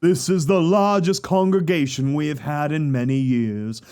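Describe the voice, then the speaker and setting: A man acts out a zealous pony preacher in an audio drama. His voice sits a little high for a man, and he speaks in solemn, formal phrases. The delivery is a grand, oratorical sermon. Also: normal speed, darkish tone, lightly acted. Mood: grave, consoling. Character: zealous male pony preacher recounting a prophet's rise to his congregation.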